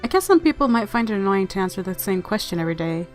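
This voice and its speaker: A woman's voice: medium pitch, very animated, fast talker, soft background music. A woman narrating a visual novel aloud as a let's-player, mixing story reading with casual commentary.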